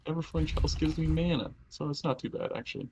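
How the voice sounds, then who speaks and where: A man's voice: fairly high pitch, fairly even intonation, fast talker, noisy background. A chatty man playing a livestreamed card game, talking loosely and laughing between remarks. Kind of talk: casual chat during a livestreamed card game.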